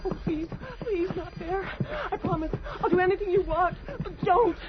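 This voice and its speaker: An actress playing a frightened, defiant, childlike wife in an old-time radio drama, with pleading protests. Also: female voice, very high-pitched, moderate pace. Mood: terrified, pleading. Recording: muffled old radio broadcast, clear hiss.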